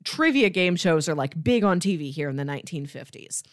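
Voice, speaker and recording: A woman co-hosting a podcast in a casual, informal chat, describing things in a conversational and animated way. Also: on the low side, brisk, no room sound, studio quality. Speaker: podcast co-host. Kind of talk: casual chat, pitching movies.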